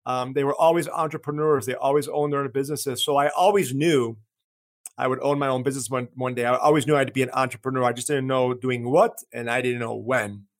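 A man's voice: medium pitch, fairly even intonation, fast talker. A man in his thirties who grew up in Chicago speaking Greek first, telling his story as an interview guest in an earnest way, often restarting and repeating phrases. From Chicago, USA. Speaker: marketing agency owner, guest.

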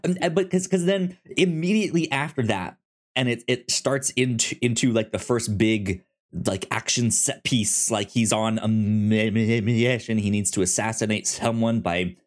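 Male podcast co-host, mid-range voice, unhurried and halting, casual and conversational. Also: medium pitch. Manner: halting, with stammered, repeated word starts. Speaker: podcast co-host discussing films. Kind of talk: casual chat.

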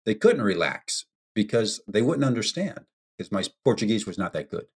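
Low-voiced man telling stories unhurriedly. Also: big pitch swings, husky. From United States. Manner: unhurried, anecdotal, with frequent ums. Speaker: podcast guest. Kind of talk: storytelling in a podcast interview.

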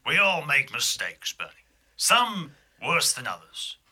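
A man playing a bumbling, breezy, forgiving police chief in an improvised audio drama, talking in a halting, distracted ramble. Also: on the high side, wildly swooping pitch, sharp tone, husky, heavily acted. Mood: rambling, evasive.

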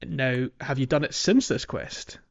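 Male podcast host, mid-pitched, hesitant conversational explainer with British colloquialisms, slightly dull recording. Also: expressive, a little slow, gravelly. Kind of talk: casual video game walkthrough chat.

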